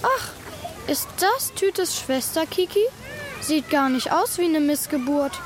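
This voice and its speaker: A woman's voice: high-pitched, expressive, slow, very noisy. A woman playing a mocking schoolboy bully who taunts other children in a German children's radio play.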